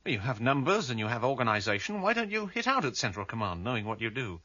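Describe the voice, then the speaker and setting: A driven outcast on the run in a radio play. His voice is bright and forceful with lively swings of pitch, formal and intense in manner. The recording is a little dull. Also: fast talker. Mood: challenging. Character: earnest, driven male fugitive, a former citizen turned outcast. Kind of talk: dramatic radio play acting.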